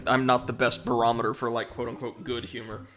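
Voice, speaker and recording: A man's voice: mid-range voice, little pitch movement, fairly quick, fairly bright tone. An American man streaming a video game live, rambling along with self-deprecating puns and reading out chat messages as he plays. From United States. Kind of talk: casual live commentary while gaming. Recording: game music underneath. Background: video game music.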